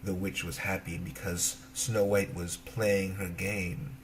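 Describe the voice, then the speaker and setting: A man narrating a children's fairy tale as an expressive storyteller, in simple phrases. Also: sits low, takes it slowly, a little hiss or hum.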